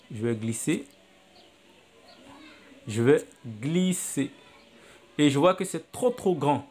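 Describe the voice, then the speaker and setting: A man teaching video editing on a phone app, talking step by step and repeating his actions aloud as he works. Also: mid-range voice. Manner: step-by-step, repetitive, thinking aloud. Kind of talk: screen-recorded app tutorial.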